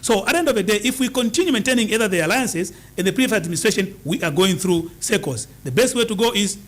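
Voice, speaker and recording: A man with a notably high-pitched voice speaks as a political guest. He talks in an emphatic, campaigning way, hammering home his points and repeating them for stress. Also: animated, fast. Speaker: political party leader as studio guest. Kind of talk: political interview answers.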